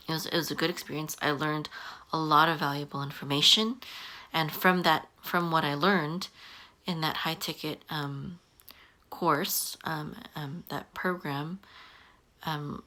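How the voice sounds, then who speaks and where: A woman in her early forties giving casual advice to camera, halting often with ums and restarting her sentences. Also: sits low, pushed and bright. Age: 40s. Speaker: online business coach. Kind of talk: casual talking-head advice.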